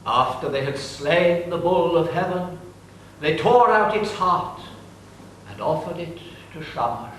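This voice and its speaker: Slow deliberate male narrator, echoey. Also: on the high side, expressive, fairly bright tone, a little hiss or hum. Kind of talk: dramatic reading. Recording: echoey room, distant mic.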